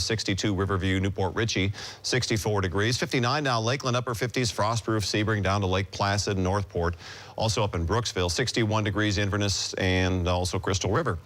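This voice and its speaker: A man's voice: on the low side, fairly quick, a bit bright. An American man presenting an ad-libbed TV weather forecast.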